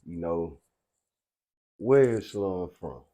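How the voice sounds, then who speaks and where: A man's voice: low voice, animated, slow pace, warm tone. A middle-aged man hosting a casual interview, slangy and given to short affirmations. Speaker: podcast host, interviewer.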